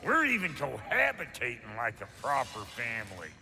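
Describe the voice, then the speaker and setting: An actor playing a crusty, bickering dwarf blacksmith in a video game, blunt and full of folksy backwoods slang. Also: male voice, medium pitch, wildly swooping pitch, moderate pace, vocal fry, bright, forceful tone, long reverb tail, heavily acted. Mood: indignant, cocky. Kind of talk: cinematic video game acting.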